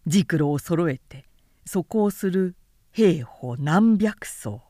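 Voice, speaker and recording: Slow, expressive woman narrating a historical novel. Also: on the low side, dry recording, studio quality. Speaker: narrator reading literature aloud.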